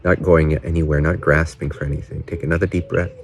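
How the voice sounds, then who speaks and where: A man leading a guided meditation in gentle, unhurried short phrases with pauses between them. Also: extremely low pitch, level tone. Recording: birdsong and faint outdoor ambience behind. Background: doves, frogs, birds and distant cars.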